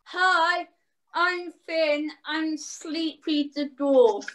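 Slow, drawn-out amateur panto performer. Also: high voice, steady tone, fairly bright tone. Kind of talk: amateur pantomime introductions and jokes. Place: living room at home.

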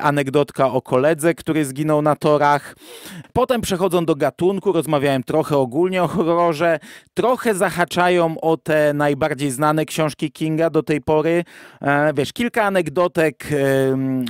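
A male podcast co-host with a fairly high-pitched voice discusses and reviews in a casual, discursive manner. The sound is dry and close to the microphone. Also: dry recording. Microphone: close microphone on a remote virtual-studio call.

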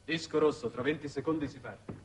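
A man's voice: on the high side, a little fast, natural voice. An actor in his thirties playing a formal, deferential ship's doctor in a 1960s television drama, with courteous, precise diction. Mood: clipped, businesslike. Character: formal, deferential male doctor aboard a spaceship. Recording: noisy old 1960s television soundtrack.

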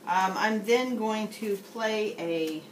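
A woman's voice: medium pitch, takes it slowly, reverberant, noisy background. A middle-aged woman casually narrating her moves in a card game, recorded across a table in a small room. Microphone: handheld camera across the table.

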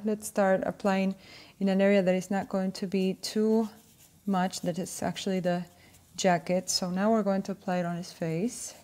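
A woman in her thirties from Argentina, Spanish her first language, with an Argentine accent, hosting a casual drawing tutorial at home in a chatty, gentle way, sometimes searching for words. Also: medium pitch. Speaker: art tutorial host. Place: indoor room at home.

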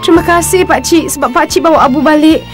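A woman's voice: high-pitched, fast, music in the background, close to an everyday voice. A woman voicing a polite, sad young orphan girl in a cartoon. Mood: grateful. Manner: soft-hearted, polite. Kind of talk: cartoon voice acting.

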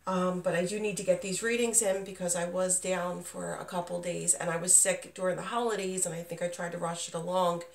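Creaky-voiced woman recovering from sickness, roomy sound. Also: fairly low pitch, steady tone, a little fast, hardly any pauses. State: getting over being sick, voice not at full strength. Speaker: tarot reader, channel host. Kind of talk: casual spoken tarot reading. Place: indoors, small dim room at a table. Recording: roomy echo, distant microphone.

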